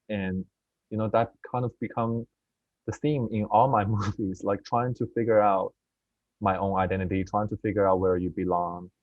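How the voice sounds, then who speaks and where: A low-pitched man speaks earnestly over a remote video call, pausing and restarting as he thinks. His voice sounds dry and close, with a slightly dull, muffled tone from the call audio. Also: a little mellow, dry recording. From China. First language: Mandarin. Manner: earnest, thoughtful, with many fillers and restarts. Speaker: film director, guest. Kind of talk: reflective interview answers. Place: at home in Beijing, remote call.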